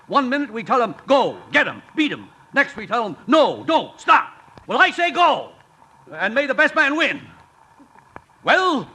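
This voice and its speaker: A man's voice: extremely high pitch, big pitch swings, a little slow, pushed and bright, slightly rough, exaggerated voice. An actor playing a blustering, impatient man in an old radio sitcom, sputtering and repeating himself for emphasis. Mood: blustering, exasperated. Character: blustering, impatient man complaining to a college president. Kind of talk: 1950s radio sitcom acting. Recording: noisy old radio broadcast recording.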